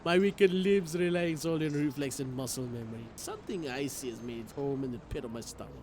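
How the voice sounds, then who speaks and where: A man streaming a game, reading its story aloud in an expressive, theatrical way. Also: medium pitch, fast talker, slight background noise. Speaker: streamer playing a visual novel. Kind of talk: dramatic read-aloud narration with live reactions.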